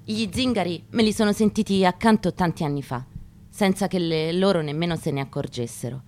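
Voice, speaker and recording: An actress reads a literary text aloud. Her delivery is measured and declamatory, with deliberate pauses. A guitar plays faintly behind her. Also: fairly low pitch, a little mellow. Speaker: actress giving a stage reading. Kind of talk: dramatic literary reading over guitar. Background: acoustic guitar.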